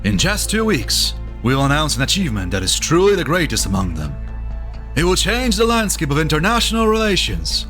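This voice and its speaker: Man acting as a lively, rousing politician. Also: fairly high pitch, a little fast. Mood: proud, resolute. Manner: rhetorical, declamatory. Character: folksy, rousing male vice president making a public speech. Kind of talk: political oratory. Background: faint music.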